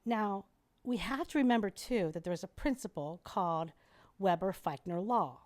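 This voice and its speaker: A middle-aged woman, a guest airway specialist, explaining and demonstrating in a lively, teacherly way during a podcast interview. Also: mid-range voice, moderate pace.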